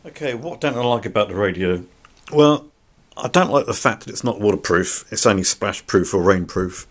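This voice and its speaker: A man's voice: on the low side, lively intonation, normal speed. A man giving an unscripted product review in a plain-spoken, somewhat hesitant way. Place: indoors.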